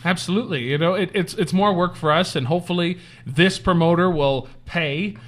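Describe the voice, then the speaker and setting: A man with a high-pitched voice hosting a casual interview, teasing and joking with his guest. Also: fairly quick, a bit bright. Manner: playful, teasing, jokey. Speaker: talk show host.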